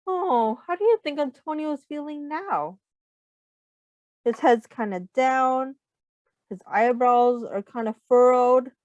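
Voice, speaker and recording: A woman reading a picture book aloud to young children, expressively, pausing to ask simple guiding questions, on a slightly dull recording. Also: high voice, a little mellow, no echo.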